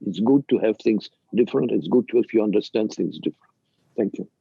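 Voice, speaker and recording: An older man with a Russian accent gives a halting, emphatic presentation as a museum director, in non-native English. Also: lowish voice, lively intonation, gravelly, breathy edge, dry recording, lo-fi sound. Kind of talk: extemporaneous panel presentation.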